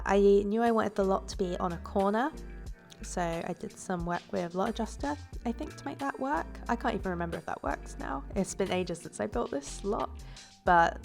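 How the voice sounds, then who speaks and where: Woman narrating her build video, a bit congested, with a gravelly edge and an easygoing, rambling delivery. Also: mid-range voice, a little mellow. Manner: casual and rambling, with fillers and self-corrections. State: stuffy from a cold. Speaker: game builder and YouTuber. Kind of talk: relaxed voice-over commentary on a building video.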